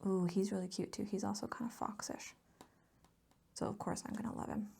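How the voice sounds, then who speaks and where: A woman speaking softly in an ASMR chat, often slipping into a breathy whisper, rambling affectionately and gushing about what she likes. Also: steady tone, brisk. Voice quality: soft, breathy whispering mixed with low voiced murmur. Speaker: host of a hobby ASMR channel. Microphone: close mic, recorded as voice-over.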